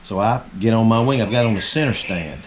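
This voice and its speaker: A man's voice: lowish voice, fairly quick. A man telling a long folksy story in casual chat, acting out what people said. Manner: folksy, acts out quotes. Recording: crowd noise behind.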